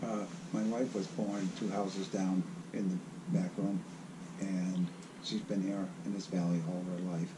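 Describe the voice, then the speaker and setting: An older man with a raspy voice, recalling his past in a halting, rambling way as the guest of an interview. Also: deep voice, little pitch movement, noisy background. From South Carolina. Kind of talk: casual reminiscing.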